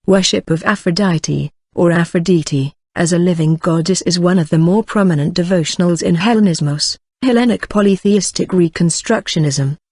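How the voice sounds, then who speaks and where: A synthetic-sounding voice reading an encyclopedia article aloud, keeping the same even delivery through section headings and sometimes mispronouncing names. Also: medium pitch, normal speed, few pauses, no room sound, studio quality.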